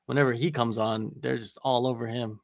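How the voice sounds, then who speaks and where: A man talks about fighters in a relaxed, rambling way, packing his speech with "you know" and "like." His voice has a gravelly edge. The sound is dry and close to the mic. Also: mid-range voice, fairly quick, no echo. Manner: laid-back, rambling with many fillers. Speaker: MMA interviewer and podcast co-host. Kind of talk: casual chat on a livestream.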